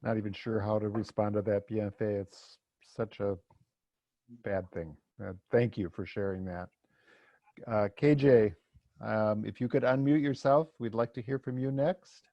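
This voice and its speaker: A man's voice: lowish voice, fairly even intonation, unhurried, no echo, muffled recording. A man moderating an online panel, speaking in a subdued, hesitant way. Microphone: video call.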